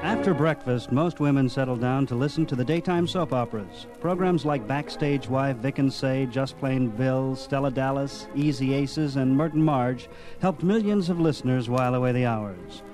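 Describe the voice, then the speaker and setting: A man's voice: medium pitch, moderate pace, music in the background, rough recording. An American man hosting a radio nostalgia program with scripted narration.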